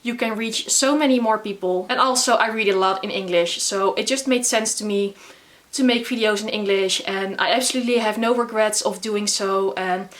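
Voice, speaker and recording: A young Dutch woman with a Dutch accent, talking casually to camera in English, often pausing with fillers. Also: medium pitch, a bit bright. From the Netherlands. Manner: chatty, frequent filler words and restarts. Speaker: booktuber. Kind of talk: casual vlog talk to camera.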